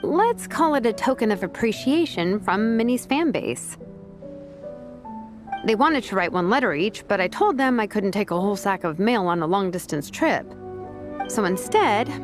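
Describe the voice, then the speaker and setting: An actress playing a laid-back, tactful but firm vice captain and teacher in a video game. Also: female voice, mid-range voice, lightly acted. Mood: casual, persuasive.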